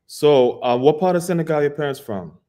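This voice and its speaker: A man's voice: on the high side, animated, brisk, dark tone. A man hosting an interview who asks rambling questions and searches for his words as he goes.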